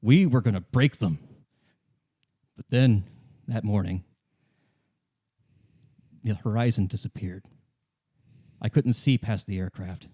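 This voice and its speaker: A man acts out an aged deserter and former commissar in a radio play. His voice is low and very mellow, with little edge. He is bitter and worn down, yet grimly insistent and defiant. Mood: haunted, reminiscing. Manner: halting, repeating himself, grimly insistent.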